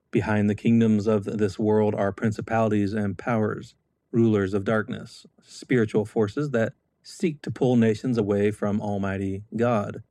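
Solemn low-voiced American veteran. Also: male voice, dark tone, no echo. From United States. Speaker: former Marine and state legislator giving an address. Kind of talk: scripted patriotic speech.

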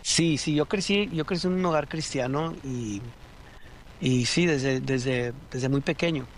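Halting man. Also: a little high, slight background noise. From Rio Grande Valley, Texas. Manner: halting, many restarts and fillers. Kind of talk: casual interview.

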